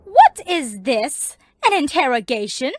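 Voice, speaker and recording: A woman's voice: high-pitched, big pitch swings, unhurried, no echo. A woman acting feisty teenage heroines in a fan fiction audio drama, spirited and theatrical. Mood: indignant, confused. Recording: faint music behind the voice.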